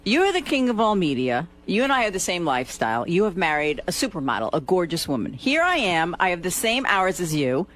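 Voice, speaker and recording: A woman's voice: fairly low pitch, very animated, brisk, hardly any pauses, a bit bright. A woman on a radio show chatting casually about herself, candid and justifying herself. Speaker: radio news reporter on the show. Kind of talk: casual personal chat.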